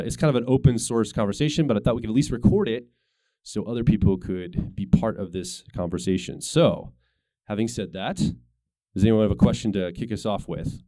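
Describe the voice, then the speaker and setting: A man's voice: on the low side, warm tone, dry recording. A male podcast co-host talking casually and explaining things, a bit tired after a long week.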